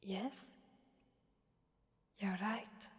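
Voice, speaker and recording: A woman from Italy playing a sadistic, playful female demon in a whispered ASMR roleplay, teasing and menacing in a soft, breathy voice. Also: mid-range voice, steady tone, very slow, dry recording, full character voice. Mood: coaxing, menacing. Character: sadistic, playful female demon mistress who relishes blood.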